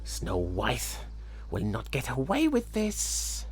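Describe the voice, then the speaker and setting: A man's voice: medium pitch, huge pitch swings, slow, soft background music. A man voicing a vain, evil storybook queen with a theatrical, demanding manner. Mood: seething rage.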